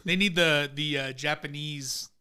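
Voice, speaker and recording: Chill guy saying dude a lot, bright clear voice, kinda high. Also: unhurried. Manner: laid-back, says dude a lot. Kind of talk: casual banter.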